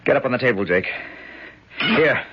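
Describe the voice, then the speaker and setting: A man's voice: mid-range voice, lively intonation, normal speed, close to an everyday voice. An actor playing a wry, dogged tough-guy hero in a 1970s radio drama. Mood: earnest resolve. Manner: wry, hard-boiled. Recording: muffled, noisy 1970s radio broadcast.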